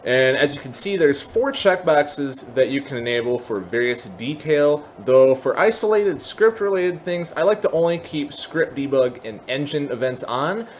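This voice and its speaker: A man hosting a casual software tutorial, explaining things step by step in an informal way. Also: fairly high pitch, animated, moderate pace, hardly any pauses, a little hiss or hum. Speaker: tutorial host.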